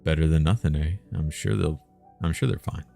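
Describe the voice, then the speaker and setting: A helpful, plain-spoken village man from a fantasy game, read out by a man. He talks haltingly in a very deep, creaky voice. Light music runs behind him. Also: dark tone. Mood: earnest.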